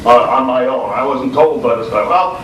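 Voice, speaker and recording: A man's voice: mid-range voice, moderate pace, slight background noise. Male radio host, raised register, casual panelist, distant mic in a reverberant room. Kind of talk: informal panel talk. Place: convention room before an audience. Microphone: informal video recording, mic at a distance. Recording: echoey, distant, loud crowd sound.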